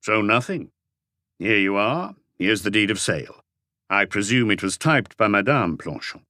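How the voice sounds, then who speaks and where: A man voicing a calm, terse police inspector who questions in a measured, probing way for an audiobook. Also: lowish voice, no room sound, studio quality.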